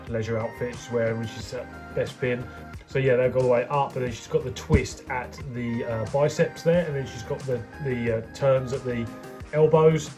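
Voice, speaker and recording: A man with a head cold, chatting his way through a toy unboxing review and talking straight to his viewers. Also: medium pitch. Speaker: toy collector vlogger.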